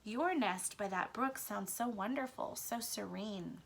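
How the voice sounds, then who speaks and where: A woman's voice: mid-range voice, measured pace, vocal fry. A woman voicing a timid, earnest male pet mouse with hesitant, formal, plaintive speech in a children's story. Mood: sad, earnest. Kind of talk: children's book read-aloud.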